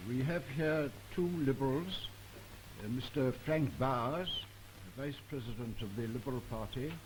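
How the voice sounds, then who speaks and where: A British man moderating a formal 1950s political broadcast in a measured, halting, deliberate manner. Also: mid-range voice. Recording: hissy 1950s archive broadcast recording.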